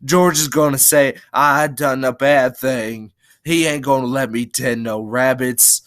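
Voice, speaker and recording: Man acting a slow, childlike big simple-minded fellow. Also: fairly low pitch, pushed and bright, no room sound, studio quality. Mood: frightened pleading. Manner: slow earnest pleading, folksy rural speech.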